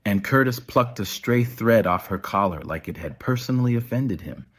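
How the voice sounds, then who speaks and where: A man's voice: lowish voice, expressive, moderate pace. A man narrating a scripted audio story.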